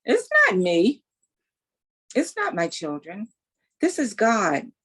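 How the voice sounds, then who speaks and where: Animated woman in her sixties. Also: medium pitch, slow, slightly rough. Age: 60s. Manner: animated, emphatic, faith-filled storytelling. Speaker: guest. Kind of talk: casual interview, testimony.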